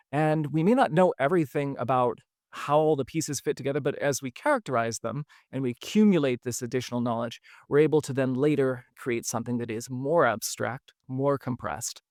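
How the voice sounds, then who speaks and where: A man hosting a podcast, telling a history story in a relaxed, conversational way, with frequent fillers. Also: medium pitch, no room sound, clean studio sound. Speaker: podcast host. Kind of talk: casual storytelling narration.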